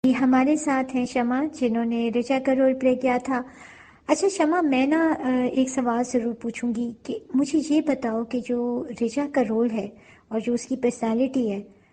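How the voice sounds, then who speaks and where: A woman's voice: fairly high pitch, mellow. A woman hosting a casual radio-show interview, dropping English phrases into her talk. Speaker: host.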